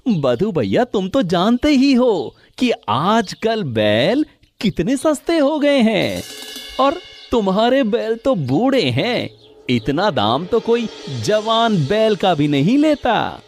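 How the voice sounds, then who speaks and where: A man playing a cunning, grasping farmer in a cartoon moral story, with drawn-out, exaggerated, wheedling and domineering delivery. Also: sits high, sing-song, faint music behind, full character voice. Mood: feigned shock. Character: cunning, grasping male farmer haggling over oxen.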